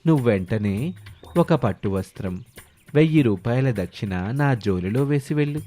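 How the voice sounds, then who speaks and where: A man's voice: mid-range voice, lively intonation, moderate pace, mellow, soft background music, natural voice. A man voicing a sly swindler posing as a holy man, solemn and coaxing, in a moral story. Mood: instructing, promising. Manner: solemn, pronouncing, coaxing with promises and warnings. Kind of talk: animated moral story dialogue.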